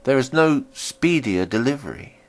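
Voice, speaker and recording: A man's voice: medium pitch, animated, moderate pace, voice pushed up, natural voice. A man voicing a mysterious, guarded male stranger in a storybook reading, speaking curtly, dryly and very distinctly. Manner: dry, clipped, very distinct.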